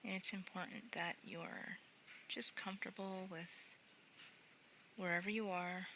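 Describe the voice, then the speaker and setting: A woman guiding a sleep meditation in a gentle, soothing, unhurried way, often softening into breathy near-whispers. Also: mid-range voice, steady tone, slight background noise, low-quality recording. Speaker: meditation guide.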